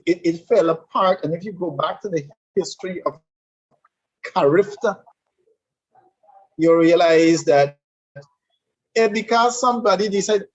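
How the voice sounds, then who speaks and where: A man with a Caribbean English accent speaks in a fairly high voice and repeats his points with emphasis, as if lecturing. The recording sounds dull and lo-fi. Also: unhurried, pauses often. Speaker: guest speaker on leadership. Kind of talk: conversational discussion.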